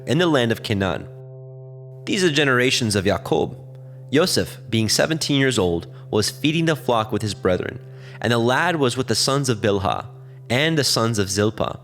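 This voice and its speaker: A man narrating scripture as a scripted reading, in a measured, solemn storytelling manner. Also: fairly low pitch, expressive, a bit bright, soft background music.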